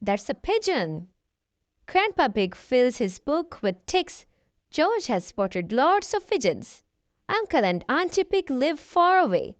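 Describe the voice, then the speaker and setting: A woman reading a children's storybook aloud, addressing young listeners in an expressive storytelling manner. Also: sits high, slow pace, no echo. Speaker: children's storybook reader, channel host.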